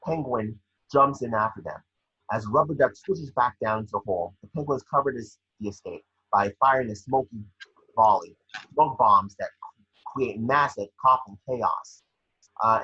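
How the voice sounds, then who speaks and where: Male table-read narrator, bright but very husky, scripted stage directions, slightly muffled home recording. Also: mid-range voice, moderate pace. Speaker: scene description reader.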